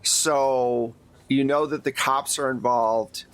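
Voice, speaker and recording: A man's voice: mid-range voice, steady tone, takes it slowly, a bit bright. A man working as game master, recapping and narrating a tabletop game in an informal, conversational way.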